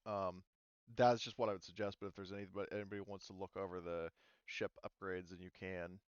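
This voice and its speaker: Male game master, casual and sweary, clear resonant voice, dry and slightly dull voice-chat sound. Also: sits low, level tone, a little fast, no room sound. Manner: casual, profane. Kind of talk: casual tabletop game session talk.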